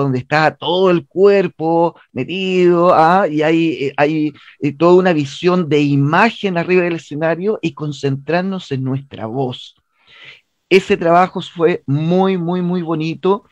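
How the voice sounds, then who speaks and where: A man's voice: a little high, dry recording. A Chilean man speaking conversationally as an interview guest, often hesitating and repeating words as he searches for phrasing. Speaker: interview guest, theatre director and playwright. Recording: dull, band-limited, dry sound.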